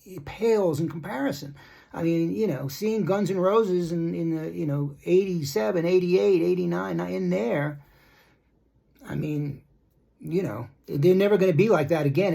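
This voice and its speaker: A middle-aged man giving a casual opinion monologue as a rock music commentator, blunt and critical, rambling with halting, repeated starts. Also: a little high, gravelly, dark tone. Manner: rambling, repetitive, halting asides.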